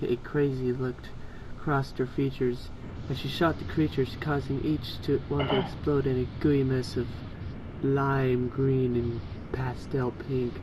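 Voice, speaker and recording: A man's voice: mid-range voice, background noise. A man reading a story aloud in an amateur style, with casual asides. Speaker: co-reader of fan fiction.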